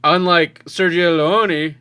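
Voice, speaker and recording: Male co-host, bright forceful voice, medium pitch, conversational film talk, dry recording. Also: steady tone, slow pace, smooth, no room sound. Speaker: podcast co-host. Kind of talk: casual film review podcast chat.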